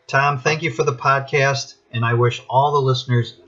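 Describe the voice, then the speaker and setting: A man giving prepared spoken commentary as a podcast contributor, in measured, formal phrasing. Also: mid-range voice, steady tone. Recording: dull lo-fi recording.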